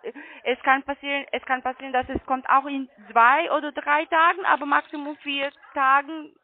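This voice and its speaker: A call centre sales agent with a foreign accent, speaking halting non-native German in a phone sales conversation. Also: high-pitched, flat delivery. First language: not German. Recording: muffled tinny phone line.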